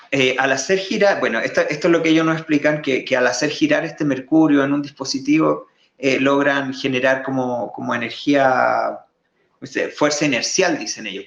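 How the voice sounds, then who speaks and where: A man presenting slides in a live online talk. He explains at length, often pausing and repeating words. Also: medium pitch, vocal fry, fairly bright tone, roomy sound, muffled recording. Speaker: guest presenter.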